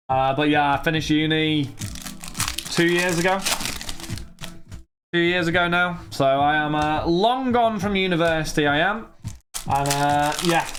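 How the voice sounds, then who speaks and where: A young man in his twenties, talking loosely and chattily as he answers viewers during a live stream. His voice sits a little high for a man, and he rambles from one thought to the next. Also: background noise. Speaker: streamer opening trading cards. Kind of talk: casual live-stream chat.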